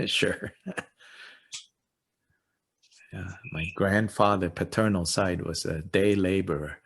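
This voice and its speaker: A man in his fifties from Malaysia with a Malaysian English accent, teaching and answering questions in a halting way, with many fillers and dry self-deprecating humor. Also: deep voice, steady tone, creaky, slightly rough. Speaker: dharma teacher. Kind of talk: informal teaching and Q&A.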